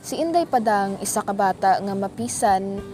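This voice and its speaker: A woman narrating a radio drama in a steady, measured storytelling manner. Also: mid-range voice. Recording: echoey room with loud music.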